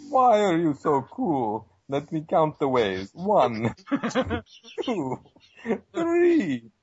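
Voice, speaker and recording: A man voicing a melodramatic, self-pitying cartoon villain with theatrical, drawn-out lines, in an amateur parody reading over a noisy, muffled internet call. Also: on the high side, wildly swooping pitch, husky, no room sound, full character voice. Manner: theatrical, drawn-out counting and lamenting.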